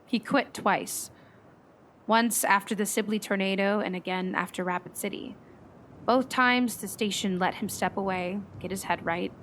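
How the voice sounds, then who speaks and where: A woman's voice: medium pitch, fairly even intonation, moderate pace, fairly bright tone, slight background noise, lightly acted. A woman playing a grieving, conflicted meteorologist in a naturalistic radio play, speaking reflectively and hesitantly. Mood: somber, reflective. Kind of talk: naturalistic radio drama dialogue.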